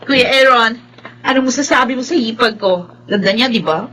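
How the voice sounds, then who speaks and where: A very high-voiced young Filipino woman as the married little sister in a radio drama. She teases and nags like a born matchmaker. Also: moderate pace, pushed and bright, faint music behind, rough recording. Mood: teasing.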